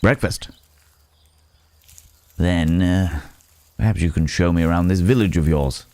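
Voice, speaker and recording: Guy acting as a super low voiced polite werewolf stranger, slow n hesitant, asmr roleplay, close dry sound. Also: no echo. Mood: grateful, gentle. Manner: hesitant, formal, polite phrasing with soft tag questions. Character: courteous, guarded werewolf man, a grateful city-born stranger.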